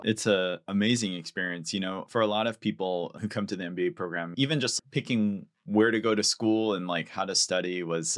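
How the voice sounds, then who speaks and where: A man's voice: deep voice, dry recording, clean studio sound. A man hosting a podcast, interviewing a guest in a conversational way. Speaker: podcast host.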